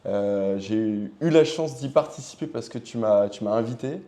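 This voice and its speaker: A man's voice: on the low side, lively intonation, normal speed, mellow. A man hosting a podcast interview in a casual, conversational style, feeling his way through informal, hesitant phrasing. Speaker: podcast host.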